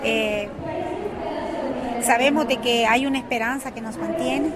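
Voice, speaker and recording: A woman's voice: fairly high pitch, fairly even intonation, unhurried, over a noisy crowd. A Salvadoran woman in her fifties giving spoken testimony as the mother of a missing migrant, earnest and hesitant, with many fillers. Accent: Salvadoran Spanish.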